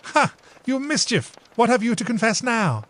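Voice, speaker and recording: A man voicing a dignified but warm-hearted young woman who teases her younger sister with lively exclamations. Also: very high voice, moderate pace, voice pushed up, exaggerated voice. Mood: teasing.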